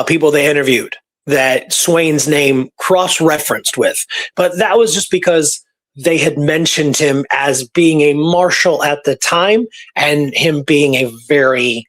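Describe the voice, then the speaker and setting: A man's voice: on the high side, measured pace, fairly bright tone. A man co-hosting a casual history discussion, informal and keen on his research, sometimes reading from documents. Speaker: co-host and researcher. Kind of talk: casual history discussion with read-out archive passages.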